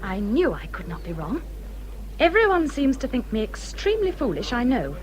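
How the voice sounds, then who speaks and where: A woman's voice: a little high, huge pitch swings, moderate pace, darkish tone, a little hiss or hum. An actress playing a peevish, self-pitying married English lady in a period radio drama, querulous and fretful. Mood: wounded, self-pitying.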